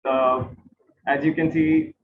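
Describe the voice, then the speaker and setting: A man's voice: a little high, steady tone, slow pace, slight background noise. A man with an Indian accent giving an informal explanatory lecture to students, repeating phrases for emphasis. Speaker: instructor, guide. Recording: roomy, tinny phone-like online class audio.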